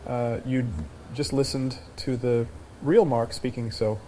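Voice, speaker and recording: A synthetic cloned man's voice, smooth and over-articulated, sounding self-satisfied. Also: mid-range voice, steady tone, measured pace, dark tone, slight background noise, natural voice, poor audio. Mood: smug. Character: AI clone of a man's voice, smug and polished.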